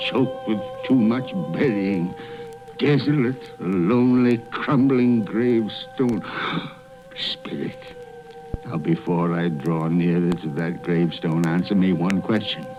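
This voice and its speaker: An actor playing a fearful old miser who pleads for redemption, in a theatrical, halting radio drama manner. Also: male voice, on the low side. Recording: muffled old radio recording, music behind.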